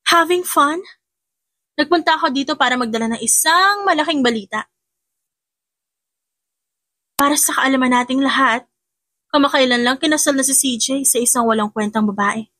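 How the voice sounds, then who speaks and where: A woman voicing an obsessed, scheming young rival with a loud, defiant, accusing delivery in an audiobook drama. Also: high-pitched, normal speed, pauses often, no echo, studio quality. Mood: spiteful, defiant.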